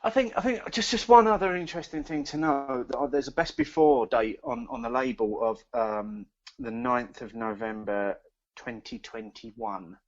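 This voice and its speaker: A man's voice: medium pitch, moderate pace, no room sound. Man chatting about beer, dull lo-fi sound. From East Anglia, England. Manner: rambling with frequent ums and restarts. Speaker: podcast co-host. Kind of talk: casual beer review chat. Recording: dry dull lo-fi podcast recording.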